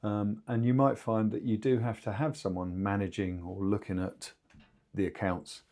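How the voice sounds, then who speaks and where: A man with a fairly low voice speaks as an expert co-host in a casual business discussion. He phrases things informally and haltingly, as unscripted conversation. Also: level tone, darkish tone. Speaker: podcast co-host and two-way radio expert.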